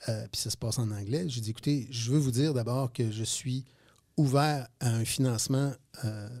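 A man's voice: mid-range voice, steady tone, moderate pace, a little mellow. A man in his fifties with a Quebec French accent, telling stories as a guest in a radio interview, hesitating and repeating words. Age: 50s. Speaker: guest entrepreneur. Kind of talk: radio interview, casual storytelling.

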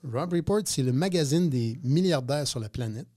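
A man's voice: mid-range voice, normal speed. Quebec entrepreneur, 50s, male, chatty storyteller, stumbles and repeats words on air. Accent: Quebec French. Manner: animated, repeats words, many hesitations. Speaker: guest entrepreneur. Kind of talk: radio interview, casual storytelling.

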